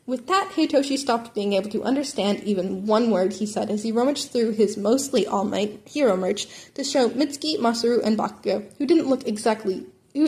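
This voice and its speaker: A woman's voice: a little high, a little mellow. A woman reading fan fiction aloud as an amateur narrator, stumbling over words now and then. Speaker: amateur audiobook reader.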